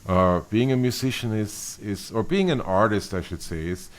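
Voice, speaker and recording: A middle-aged man from Austria, a bassist being interviewed, speaking thoughtfully with many restarts and fillers. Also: moderate pace. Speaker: bassist guest. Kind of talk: interview conversation.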